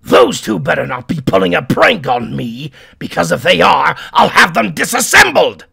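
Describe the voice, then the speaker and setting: A man playing a surly, blustering mad doctor villain in an audio drama, frightened but defiant, with a gravelly, theatrical voice. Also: fairly high pitch, very animated, normal speed, sharp tone, full character voice. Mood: grumbling threat. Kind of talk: audio drama character acting.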